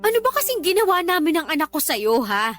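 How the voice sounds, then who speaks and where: A woman's voice: very high voice, big pitch swings, fast talker, fairly bright tone, exaggerated voice. A woman with a Filipino accent acting a defensive, clingy girlfriend and mother in a radio drama, argumentative and pleading. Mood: indignant.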